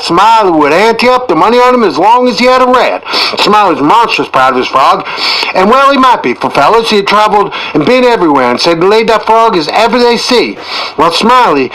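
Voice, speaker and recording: A man's voice: high-pitched, fairly bright tone, rough recording. A man voicing a garrulous rural yarn-spinner in an audiobook, earnest and admiring, rambling in colloquial country dialect. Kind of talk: first-person tall-tale narration in audiobook.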